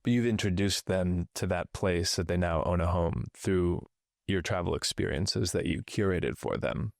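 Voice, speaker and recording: A male podcast host with a low voice asks his guest questions, feeling his way through his phrasing. He often strings several questions together. The sound is dry, with no room echo. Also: moderate pace, gravelly. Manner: loose, searching phrasing, stacks several questions. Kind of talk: interview questions.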